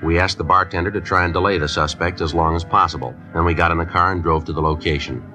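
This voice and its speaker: A man plays a police detective in a 1950s radio crime drama. His voice is extremely deep, and he speaks in short, matter-of-fact sentences. Music plays prominently behind his deadpan delivery. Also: fast talker, natural voice. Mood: flat, matter-of-fact. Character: terse, businesslike male police detective narrating his case. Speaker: narrator and lead detective.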